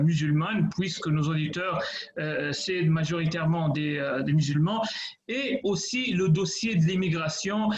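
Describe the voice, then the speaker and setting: Male radio host, halting, lots of fillers, dull roomy sound. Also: fairly high pitch. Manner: hesitant, many fillers. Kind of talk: radio interview questions.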